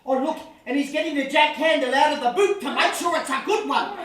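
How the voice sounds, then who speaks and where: A man's voice: very high voice, animated, a little fast, a bit bright. Man acting a needling brother, very echoey. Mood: teasing. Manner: dry, needling. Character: teasing, sardonic sibling trading barbs. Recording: very echoey room, distant mic.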